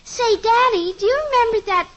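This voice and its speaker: A woman's voice: very high voice, moderate pace, very noisy. A woman playing an eager young girl on a 1950s radio sitcom, with a chirpy, pleading manner. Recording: dull lo-fi old radio transcription.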